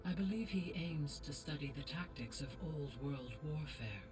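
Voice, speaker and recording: A woman voicing a calm, courteous artificial intelligence guide in a video game, speaking formally and precisely. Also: deep voice, normal speed, far from the mic. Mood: calm, informative. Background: game music.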